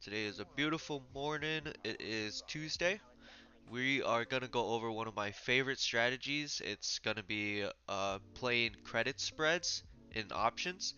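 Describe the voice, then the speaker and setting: Man, stuffy nose, very bright voice, casual filler-heavy explaining, dull lo-fi recording. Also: medium pitch, normal speed. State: stuffy, a little sick. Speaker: trading channel host. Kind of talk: screen-share trading tutorial.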